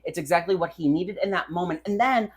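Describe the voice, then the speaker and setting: A woman's voice: on the low side, quick pace, husky. A young woman co-hosting a casual book review chat, blunt and sweary, speaking with emphatic enthusiasm. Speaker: podcast co-host.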